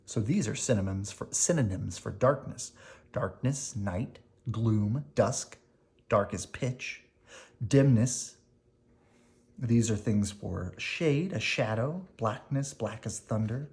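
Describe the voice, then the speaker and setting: Slow, lively storybook reader. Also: medium pitch. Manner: expressive, deliberate reading with dramatic emphasis. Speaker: storybook reader explaining words to children. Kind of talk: reading a children's picture book aloud.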